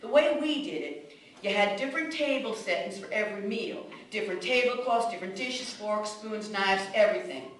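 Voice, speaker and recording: A woman's voice: medium pitch, animated, moderate pace, close to an everyday voice. A woman playing a blunt immigrant mother, echoey. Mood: reminiscing, matter-of-fact. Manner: plainspoken, colloquial storytelling with curt commands. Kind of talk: radio drama monologue. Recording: echoey room, distant microphone.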